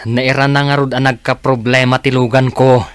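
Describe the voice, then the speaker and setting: A man's voice: medium pitch, flat delivery, moderate pace, lightly acted. A man playing a reluctant, evasive young husband in an Ilocano radio drama, with a Filipino accent.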